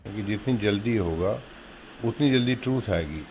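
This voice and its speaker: A man's voice: lowish voice, lively intonation, normal speed, a little mellow, a little hiss or hum. An Indian-accented man giving informal interview comment as an expert, mixing in English words.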